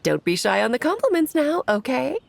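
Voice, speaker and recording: A playful, scheming witch mother with a fairly high, very animated voice that often drops into creak. She speaks eloquently and teasingly, in polished game-cutscene style with soft music behind. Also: fairly quick, heavy background noise, full character voice. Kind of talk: video game cutscene acting.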